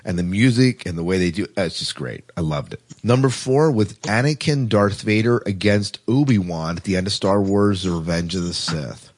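A man's voice: sits low, moderate pace, few pauses, slightly rough. A man co-hosting a podcast in a casual, informal, conversational way. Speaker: podcast co-host.

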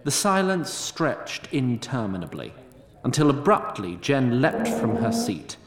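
An actor narrating a fantasy audio drama in formal, elaborate, wry storytelling language, recorded with a roomy echo. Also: male voice, medium pitch, wildly swooping pitch, measured pace. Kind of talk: scripted narration.

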